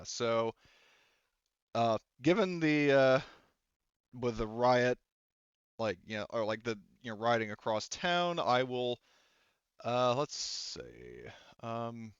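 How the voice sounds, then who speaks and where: A man narrating as game master in a casual, halting, informal way, thinking aloud as he goes. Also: mid-range voice, lively intonation, clear, resonant tone, no echo, lo-fi sound.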